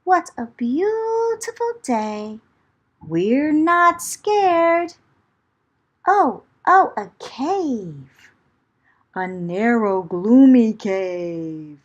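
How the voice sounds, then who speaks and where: A woman reading a picture book aloud to children in a dramatic, theatrical way, with rhythmic chanting phrases. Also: sits high. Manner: dramatic, theatrical, with whispers and exclamations. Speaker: children's storyteller.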